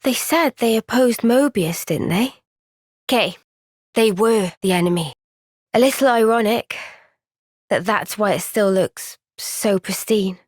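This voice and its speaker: A woman playing a young, thoughtful and caring warrior heroine in a video game, speaking in a measured, earnest, gentle way. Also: medium pitch, no room sound, clean studio sound, close to an everyday voice. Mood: grave, reflective. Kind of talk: video game cutscene voice acting.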